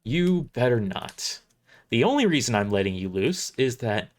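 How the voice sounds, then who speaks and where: A man's voice: medium pitch, sing-song, moderate pace, lightly acted. Man as a blunt, commanding space fleet admiral. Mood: stern, wary.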